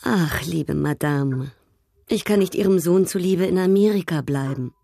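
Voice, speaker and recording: An actress playing a proud, worldly, coquettish baroness in a radio drama, speaking in a cultivated and slightly affected way. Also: female voice, fairly low pitch, moderate pace, creaky, warm tone. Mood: gracious, evasive.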